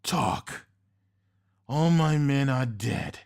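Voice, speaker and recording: A man voicing a desperate, furious army captain who shouts with a strained, raw voice. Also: medium pitch, drawn-out words, pitched above the performer's own voice. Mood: angry.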